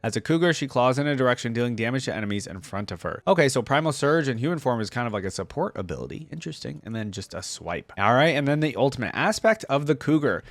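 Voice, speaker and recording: A man hosting a reaction video, reading text aloud and commenting casually as he thinks out loud. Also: mid-range voice, animated, quick pace, hardly any pauses, no room sound.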